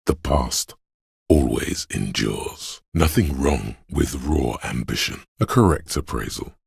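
Game casting: grandiose, sardonic inner voice of endurance, slow and gravel-throated, very dry close sound. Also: male voice, expressive, dry recording, full character voice. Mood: proud, grandiose. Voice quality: very deep, gravelly, husky rasp. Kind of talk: video game voice acting.

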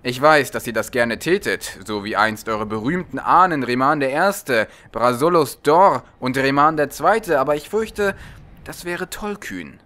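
A man's voice: mid-range voice, animated, measured pace, sharp tone. A man voicing a courtly, calculating serpent-man advisor in a formal, deferential audiobook manner. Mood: counseling, courteous.

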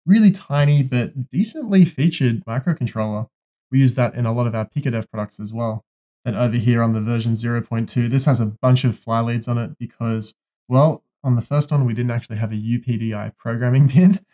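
A man's voice: medium pitch, a little fast, mellow. A young man, casually explaining an electronics project in a workshop in a friendly, upbeat way. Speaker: electronics presenter.

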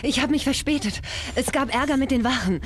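A woman's voice: high-pitched, brisk, a little hiss or hum, close to an everyday voice. An actress playing a tough, sardonic young female inventor in a game, dry and confident. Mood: casual. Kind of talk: animated game cutscene acting.

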